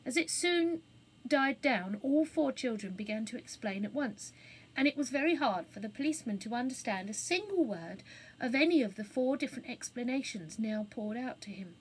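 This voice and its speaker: Female children's narrator: slightly high pitch, breathy husky tone, expressive storytelling delivery. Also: moderate pace, rough recording.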